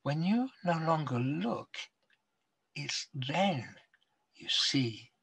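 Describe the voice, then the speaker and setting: An older man, a poet, reciting his own verse deliberately, with long pauses between phrases. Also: on the high side, very animated, gravelly, a bit bright, husky. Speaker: poet reading own poems. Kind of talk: poetry recital.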